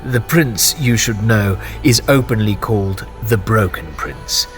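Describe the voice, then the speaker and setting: An actor playing a nervous, quick-witted court chamberlain who dodges awkward people with wry quips. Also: male voice, lowish voice, soft background music, lightly acted. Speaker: chamberlain, guide. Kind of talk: audio drama acting.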